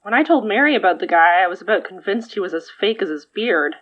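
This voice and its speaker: A woman's voice: mid-range voice, lively intonation, fast talker, muffled recording, lightly acted. Droll, teasing friend, played by a woman. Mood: amused. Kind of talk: audio fiction podcast acting.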